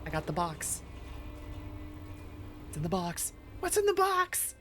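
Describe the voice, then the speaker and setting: A woman's voice: fairly low pitch, wildly swooping pitch, a little slow, a bit bright, faint music behind. A woman from the American Midwest giving chatty, casual gameplay commentary, reacting aloud as she plays. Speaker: game streamer.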